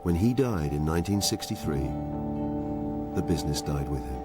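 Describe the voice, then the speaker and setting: A man narrating a documentary in a measured, even scripted delivery. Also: extremely low pitch, loud music behind the voice.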